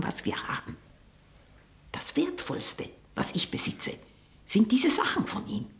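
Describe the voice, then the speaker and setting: A woman playing a domineering, scheming, sickly old aunt in a 1960s radio play, with a breathy voice that often drops into a whisper. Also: fairly high pitch, heavily acted. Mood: calculating, resigned.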